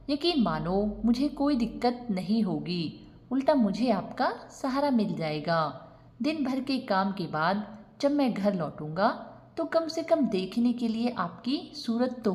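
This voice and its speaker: A woman's voice: on the high side, big pitch swings, a little slow, a little mellow. Woman voicing a tender, lonely young man. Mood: earnest, pleading. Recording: long reverb on the voice.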